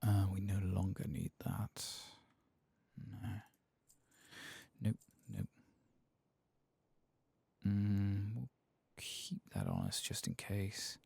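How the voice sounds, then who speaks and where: A man giving soft-spoken ASMR game commentary, murmuring and often slipping into whispers close to the microphone. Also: lowish voice, very animated, very slow, creaky, long pauses, slightly rough, dry recording.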